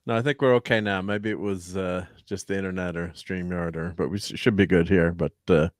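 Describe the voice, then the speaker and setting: A man's voice: sits low, steady tone, brisk, no room sound, clean studio sound. A man hosting a casual online podcast chat, easygoing and laughing often between remarks. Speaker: podcast host.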